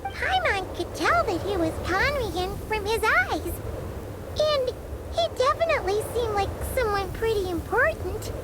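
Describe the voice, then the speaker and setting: A woman voicing a small, childlike female fairy companion in a video game, with a squeaky voice and a chatty manner. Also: sing-song, measured pace, very noisy, full character voice. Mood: thoughtful, puzzled. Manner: speaks of herself in third person. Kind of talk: video game character dialogue.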